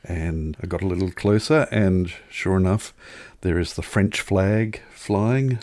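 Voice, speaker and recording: A man's voice: sits low, a little slow, a little mellow, no echo, studio quality. A man chatting casually as a podcast co-host, telling anecdotes in wry, wordy turns of phrase.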